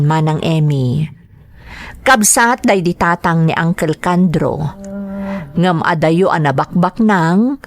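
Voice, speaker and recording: A woman's voice: on the low side, no room sound. A woman with an Ilocano accent playing a humble, burdened young student in a radio drama, earnest and plaintive.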